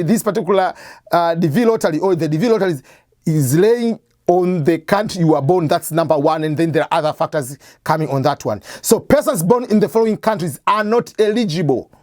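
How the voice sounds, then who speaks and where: A man's voice: high voice, darkish tone, no echo. Man hosting a plain dry explainer. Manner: conversational, repeats and rephrases points, asks and answers his own questions. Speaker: host of an immigration advice channel. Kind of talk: explanatory talk to camera.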